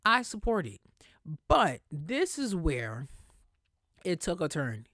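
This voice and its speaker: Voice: medium pitch, wildly swooping pitch, slow, fairly bright tone, no room sound, studio quality. A podcast host giving casual, opinionated celebrity commentary in a conversational, emphatic way with plenty of slang.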